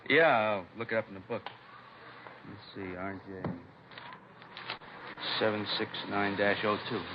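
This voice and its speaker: A man's voice: fairly low pitch, fairly even intonation, measured pace, natural voice. An American man playing a chatty, helpful laundry shopkeeper in a 1950s radio drama, hesitant and rambling as he talks. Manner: hesitant, rambling, mumbling to himself. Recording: noisy, muffled vintage radio recording.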